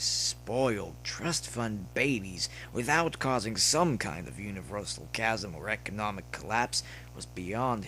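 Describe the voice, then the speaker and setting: Low-voiced man narrating fiction, humming background. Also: a bit bright, poor audio. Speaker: audiobook-style narrator of fan fiction. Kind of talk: scripted story narration, dramatic reading. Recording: noticeable background noise with hum.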